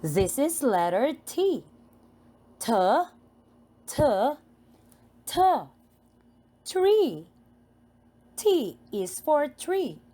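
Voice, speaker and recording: Filipino accent woman teaching little kids letters, super slow, sing-song and repetitive, pitch goes up and down a lot. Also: sing-song, dark tone. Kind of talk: slow phonics lesson for young children.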